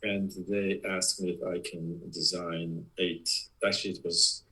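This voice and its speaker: A man in his sixties from Poland with a Polish accent, talking as a guest in a casual interview, halting with many fillers and restarts. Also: low-pitched, flat delivery, pushed and bright, breathy edge, poor audio. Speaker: guest, production designer.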